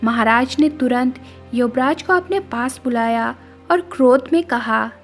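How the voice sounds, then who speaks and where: A woman's voice: fairly high pitch, unhurried, soft background music. A woman narrating a scripted moral story as the storyteller.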